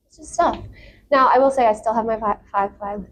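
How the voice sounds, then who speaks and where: A woman talking as a podcast guest in a casual, candid and expressive way, prone to giggling. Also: fairly high pitch, fairly quick. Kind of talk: casual chat. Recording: clear background noise.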